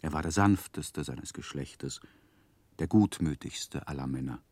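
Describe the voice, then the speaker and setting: An actor playing a grown man narrating his own life story in a radio drama, with measured, wry delivery. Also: a bass voice, darkish tone, no room sound. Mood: wry, descriptive. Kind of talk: scripted literary narration in 1960s radio drama.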